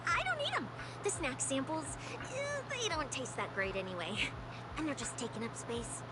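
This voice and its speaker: A woman voicing a playful, thrifty young food lover in a video game, expressive and a little proud. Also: high-pitched, moderate pace, very noisy. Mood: reluctant, matter-of-fact. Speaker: game character.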